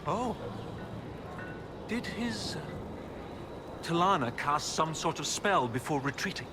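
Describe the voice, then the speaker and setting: An eager, fussy scholar in game dialogue. He stammers politely in a high, very lively voice over a noisy background. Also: slow. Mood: flustered, anxious. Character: excitable, flustered scholar, earnest and eager.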